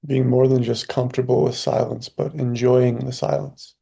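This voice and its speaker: A man leading a guided meditation, speaking calmly in short phrases with long pauses between them. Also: mid-range voice, vocal fry, husky. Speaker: meditation teacher.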